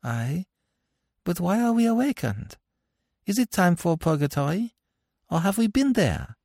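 A man's voice: high-pitched, big pitch swings, measured pace, creaky, darkish tone, no echo, clean studio sound, voice pushed up, full character voice. A man voicing a frightened, devout old wife speaking from her grave in archaic, wondering words for a storybook. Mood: frightened, tender. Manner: archaic, wondering, pleading speech. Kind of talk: dramatic storybook reading.

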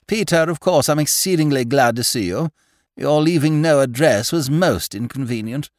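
A man voicing a stately duchess, brisk, formal and coolly polite, in an audiobook. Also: on the high side, no echo, studio quality, voice pushed up, lightly acted. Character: stately, brisk aristocratic English lady, a duchess.

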